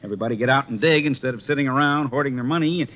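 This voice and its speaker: An American actor playing a talkative small-town police sergeant in 1950s radio drama, plain-spoken and opinionated. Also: male voice, medium pitch, racing, natural voice. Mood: opinionated, earnest. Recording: tinny, noisy 1950s radio recording.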